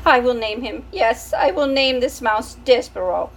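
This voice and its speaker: A woman's voice: fairly high pitch, expressive, unhurried, voice pushed up. A woman voicing a vain, dramatic French mother mouse, speaking with a French accent in a sighing, self-pitying way. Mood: theatrical.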